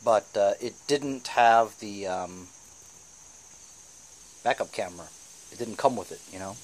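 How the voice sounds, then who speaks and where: A man hosting a repair vlog, talking casually through hands-on work in a halting, thinking-aloud way with frequent "you know" tags. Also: on the low side, slight background noise. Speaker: host of a DIY repair vlog. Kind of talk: casual hands-on tutorial narration.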